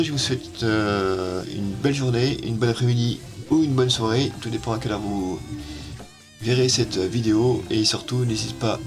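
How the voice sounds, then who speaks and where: A man walking through a software tutorial at his computer, hesitant and full of filler sounds, on an amateur setup. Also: fairly low pitch. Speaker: tech YouTuber. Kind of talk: casual screen-recorded software tutorial.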